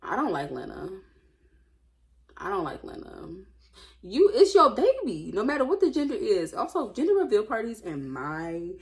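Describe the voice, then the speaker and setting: A woman reading aloud and commenting casually, with an informal, opinionated, slangy way of musing out loud. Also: mid-range voice, wildly swooping pitch, moderate pace. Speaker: host reading and commenting on online stories.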